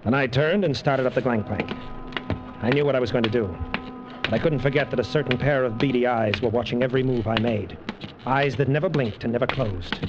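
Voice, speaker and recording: A man's voice: mid-range voice, brisk, natural voice. An actor playing a male adventurer in a 1940s radio drama, with a dramatic delivery. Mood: tense, brooding. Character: adventurer narrator, gripped by dread, teasing with women. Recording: vintage lo-fi radio, music behind.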